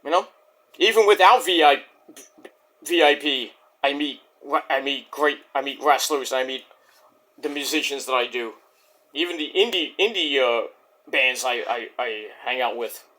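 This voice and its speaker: A man's voice: medium pitch, measured pace, bright, forceful tone. A middle-aged man with a Brooklyn New York accent, rambling boastfully through a casual wrestling review monologue. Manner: boastful, rambling, restarts and fillers.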